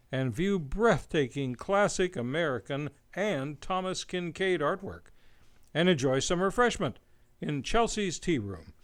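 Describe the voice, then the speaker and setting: A man's voice: fairly high pitch, a bit bright, clean tone. A man announcing scripted radio show credits in a formal, polished delivery.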